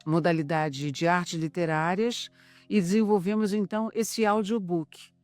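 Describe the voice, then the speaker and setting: A Brazilian man in his seventies giving an interview testimonial in a halting way, pausing to search for words. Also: sits high, fairly even intonation, no echo, soft background music. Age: 70s.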